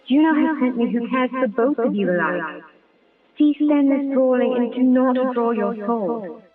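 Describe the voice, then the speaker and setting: A man voicing a stern, calm, commanding goddess in a dramatized audiobook, with lofty measured speech through a thin filter. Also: extremely high pitch, voice pushed up. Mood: commanding, soothing. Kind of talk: dramatized audiobook acting of epic verse. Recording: thin phone-like band, distant, faint music.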